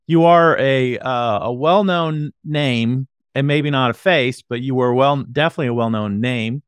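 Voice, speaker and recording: A man's voice: mid-range voice, moderate pace, clear, resonant tone, no echo. A man chatting casually as an interviewer, with informal, slightly hesitant phrasing. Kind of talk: casual chat.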